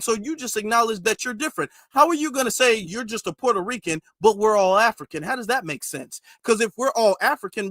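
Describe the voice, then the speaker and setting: Emphatic high-voiced man lecturing. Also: bright, forceful tone, no echo, studio quality. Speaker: commentary channel host. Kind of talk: livestream commentary monologue.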